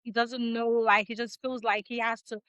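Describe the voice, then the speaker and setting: Thirties woman from Nigeria, podcast guest; slow and reflective, somewhat high voice, dry close sound. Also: flat delivery, a bit bright, clear, resonant tone, no room sound. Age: 30s. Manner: measured, reflective, with frequent ums and restarts. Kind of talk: casual interview chat.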